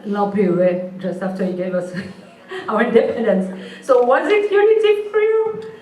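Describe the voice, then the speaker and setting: A woman's voice: fairly high pitch, expressive, a little slow, darkish tone. A woman moderating a panel, asking probing and challenging questions. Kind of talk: interview questions. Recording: echoey room from far off.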